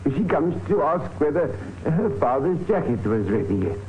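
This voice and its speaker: A man's voice: on the high side, sing-song, moderate pace, a little mellow. A man playing a shifty, nervous old tailor in a radio drama, stammering and hesitant. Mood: nervous, evasive. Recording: tinny, noisy old radio recording.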